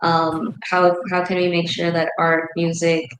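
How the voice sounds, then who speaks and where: A woman's voice: lowish voice, completely flat delivery, unhurried, roomy sound, slight background noise, poor audio. A woman on a panel speaking in a reflective, musing way. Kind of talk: panel discussion.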